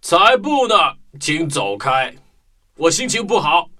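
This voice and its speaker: Man playing a slow, moody big grizzly bear. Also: fairly high pitch, very animated, sharp tone, faint music behind, exaggerated voice. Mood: gloomy, sulky. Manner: slow, grumbling, sighing. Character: gloomy, sulky, lonely big grizzly bear. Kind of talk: children's radio play acting.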